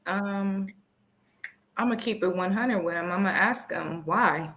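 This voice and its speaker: A woman's voice: mid-range voice, a little slow, a bit bright, slight background noise. A woman co-hosting a podcast, talking in a blunt, emphatic way and cursing freely. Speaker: podcast co-host. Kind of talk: casual chat.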